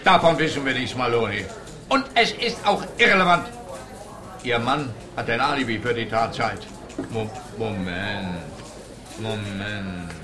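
An actor playing a weary, officious police investigator in a radio play, brusque and dismissive. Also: male voice, mid-range voice, lively intonation, measured pace, a crowd in the background, natural voice. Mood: dismissive, needling.

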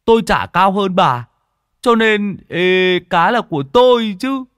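A man's voice: high-pitched, lively intonation, unhurried, a bit bright, clear, resonant tone, no room sound, studio quality, pitched above the performer's own voice. A man voicing a brash, greedy young male sidekick in an audiobook story, cheeky and boastful. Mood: cheeky taunting.

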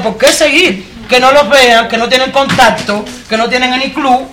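A woman's voice: on the high side, fairly bright tone, slight background noise. A woman from Cuba with a Cuban accent, speaking with force at a live public discussion, addressing the audience directly with rhetorical questions. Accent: Cuban Spanish. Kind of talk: impassioned live panel talk.

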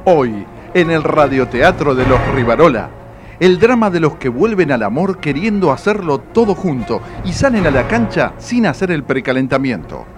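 An actor with an Argentine accent narrating a comic radio drama as a solemn, mock-dramatic announcer. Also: male voice, fairly high pitch, sing-song, normal speed, over music.

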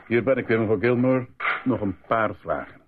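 An actor playing a calm, formal male doctor at a military hospital, soothing and measured, in a radio drama. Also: fairly low pitch, phone-quality sound, background noise, lightly acted. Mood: composed, formal.

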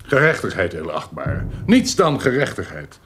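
An actor playing a vindictive, self-righteous informer speaking emphatically in a Dutch radio drama. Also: male voice, on the low side, wildly swooping pitch, moderate pace, background noise. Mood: righteous, evasive. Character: vindictive, insistent male informer denouncing criminals.

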